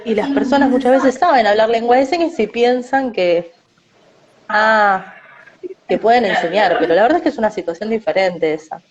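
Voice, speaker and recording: A woman with a Rioplatense Spanish accent giving measured interview answers, often tagging her sentences with a questioning "no?". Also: a little high, highs cut off, crowd noise. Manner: measured, often adds "no?" tags.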